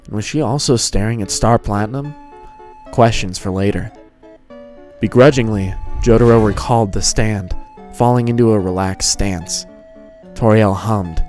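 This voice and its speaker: A man's voice: lowish voice, fairly even intonation, normal speed, darkish tone. A man reading scripted fan fiction as the narrator of a dramatized story. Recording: music behind the voice.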